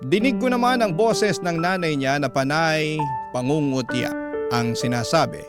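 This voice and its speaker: A man's voice: fairly high pitch, moderate pace. A man with a Filipino accent reading a listener's letter as a radio host, in heartfelt, dramatic storytelling style. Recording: loud music underneath. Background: loud musical bed.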